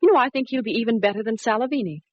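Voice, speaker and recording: A woman's voice: fairly high pitch, very fast, close to an everyday voice. An actress playing a gracious, polite woman opera singer in 1940s radio drama style. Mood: friendly. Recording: dull, hissy vintage radio recording.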